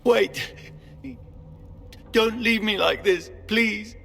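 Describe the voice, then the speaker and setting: Gravelly, agonized dying knight. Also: male voice, high-pitched, steady tone, drawn-out words, a bit bright, full character voice. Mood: pleading. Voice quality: gravelly, strained. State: in agony, weakened. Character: dying male knight, tormented, bitter, betrayed. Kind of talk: dramatic video game acting. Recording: music behind the voice.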